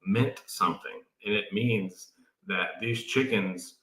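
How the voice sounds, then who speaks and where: A man's voice: on the low side, slow, sharp tone. A man in his late thirties co-hosting a casual homestead livestream, laid-back with dry, teasing humor. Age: 30s. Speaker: livestream co-host. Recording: roomy echo.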